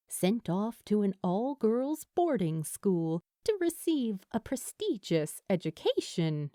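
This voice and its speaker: A woman reading a story aloud as a narrator, in an expressive scripted audiobook style. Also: mid-range voice, no echo, studio quality. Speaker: fan fiction narrator.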